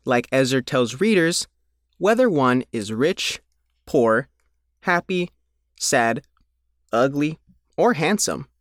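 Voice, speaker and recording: A man reading a scripted news feature as its reporter, in measured, deliberate short phrases. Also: fairly high pitch, no room sound, studio quality. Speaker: reporter reading his own written feature.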